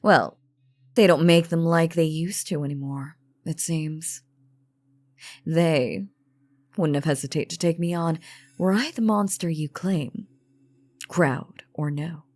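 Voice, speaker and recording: A woman acts out an elegant vampire lady in a roleplay audio drama. Her low voice moves slowly through genteel, formal phrases, teasing and condescending with calm menace. The recording is close and dry. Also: no room sound. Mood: taunting, disdainful.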